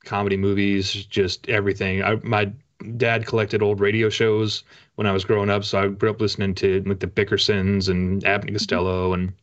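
A middle-aged man with an American accent, chatting casually as an author being interviewed, in a halting, reflective way. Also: fairly low pitch, little pitch movement. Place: home room with bookshelves, indoors. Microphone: video call. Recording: dull, muffled video-call audio.

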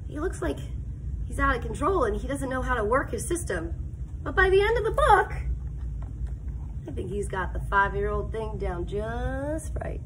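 A woman's voice: on the high side, noisy background. A middle-aged woman, a kindergarten teacher, reading a picture book aloud expressively with asides to the children, stretching her words. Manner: animated, deliberate delivery, stretching words for young children. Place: indoors, school classroom.

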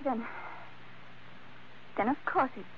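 A woman's voice: high-pitched, slow. An actress playing a proud, dignified young woman with formal wording in a 1940s radio drama. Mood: cool, dignified. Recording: narrow, slightly noisy old radio recording.

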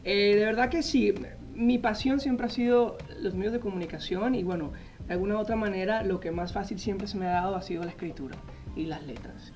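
A man, a magazine editor telling his story as a guest in a TV studio interview, animated and full of "eh" fillers, speaking into a lapel mic. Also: high-pitched, brisk, soft background music. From Colombia. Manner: animated storytelling, frequent "eh" fillers, gestures while talking. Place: TV news studio set.

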